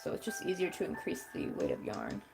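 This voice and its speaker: A woman's voice: very deep voice, huge pitch swings, fairly quick, vocal fry. A young woman with a young-sounding voice, chatting casually and muttering to herself as she crochets. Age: young adult. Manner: chatty, talks to herself while working, mutters frustrations. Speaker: crochet channel host. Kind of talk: casual chat while crafting. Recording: music playing underneath.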